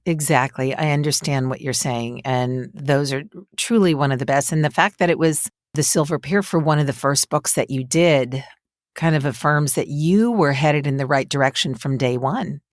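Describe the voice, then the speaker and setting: A woman with a deep voice hosts an interview podcast. She talks conversationally to her guest, and the recording is close and dry, without room echo. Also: moderate pace.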